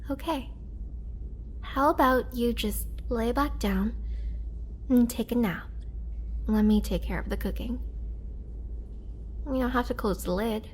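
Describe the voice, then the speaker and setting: A woman playing a gentle, remorseful young vampire in an intimate roleplay audio drama, speaking softly and hesitantly with tender care. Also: a little high, measured pace, long pauses, a little hiss or hum, natural voice. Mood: caring, reassuring. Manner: soft, hesitant, caring delivery.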